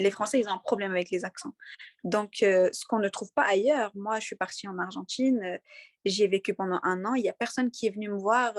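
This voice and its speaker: A young woman from Morocco with a light Moroccan accent, answering interview questions in an earnest, halting way with many fillers and restarts. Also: medium pitch, dry recording, clean studio sound. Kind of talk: conversational interview.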